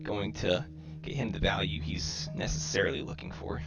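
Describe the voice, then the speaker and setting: A man's voice: deep voice, little pitch movement, normal speed, creaky. A man with robotic microphone distortion, giving casual gameplay commentary and thinking aloud in short, clipped remarks. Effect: robotic-sounding microphone distortion. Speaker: gamer commentating own match. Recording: robotic, glitchy microphone sound. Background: music.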